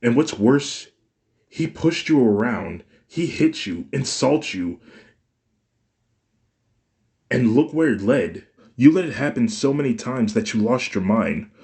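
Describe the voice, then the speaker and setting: A man's voice: mid-range voice, expressive, a little slow, darkish tone, pitched above the performer's own voice. A man voicing an anguished young man in a read-aloud fan story, raised and accusing. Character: anguished young man confronting his mother.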